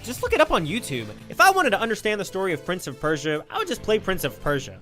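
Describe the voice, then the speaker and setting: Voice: medium pitch, a bit bright. A young adult host narrating a scripted comedic video essay in a sarcastic, exclamatory, casually profane way.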